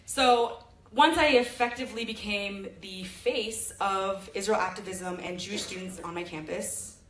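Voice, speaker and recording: A woman's voice: medium pitch, unhurried, a bit bright. A young woman from California giving a chatty, joking stage talk into a handheld mic in a large auditorium. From Sacramento, California. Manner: chatty, jokey, self-deprecating storytelling. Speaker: presenter. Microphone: handheld mic filmed from the audience. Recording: echoey hall, audience phone recording.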